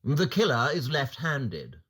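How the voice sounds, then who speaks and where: An actor playing a brilliant, arrogant, condescending detective in an audio drama, with a clipped, sarcastic, lecturing manner. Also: male voice, mid-range voice, wildly swooping pitch, slow pace, fairly bright tone, slightly rough, heavily acted. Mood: coolly analytical.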